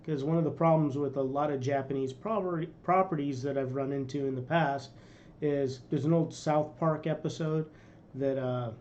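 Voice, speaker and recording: A man's voice: on the high side, steady tone, normal speed, a little mellow, dull audio, slight background noise. A middle-aged man casually commenting on a game trailer in a small room, rambling with fillers and restarts. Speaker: reaction video host.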